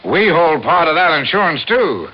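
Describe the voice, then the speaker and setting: An American actor playing a fussy, suspicious office manager, hesitant and stammering, in a 1950s radio drama. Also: male voice, on the high side, big pitch swings, normal speed. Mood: flustered, suspicious. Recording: muffled vintage radio recording.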